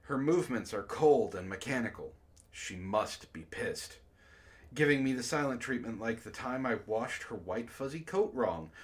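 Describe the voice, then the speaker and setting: An animated male host reads prose aloud for a joking book club. His pitch swings widely as he moves between narration and exclaiming asides, and he breaks easily into laughter.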